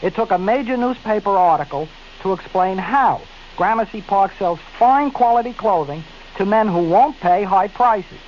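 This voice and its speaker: High-voiced radio ad announcer man. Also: expressive, moderate pace, hardly any pauses. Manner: emphatic, repeating the address. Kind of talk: commercial read. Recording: dull lo-fi 1970s radio with hiss.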